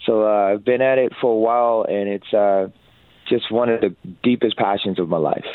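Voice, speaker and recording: A man's voice: on the low side, normal speed, no room sound. Earnest man on a tinny phone line. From Hawaii. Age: 30s. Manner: earnest, upbeat, lots of fillers. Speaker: musician guest. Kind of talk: casual interview.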